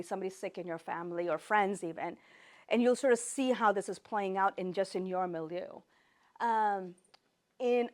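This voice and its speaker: A woman speaks as a professor taking a class through slides, and her first language is Gujarati. Her delivery is informal and conversational. She often corrects herself mid-phrase and turns to her listeners with rhetorical questions. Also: mid-range voice. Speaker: professor, researcher lecturing. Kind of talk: informal academic lecture with slides.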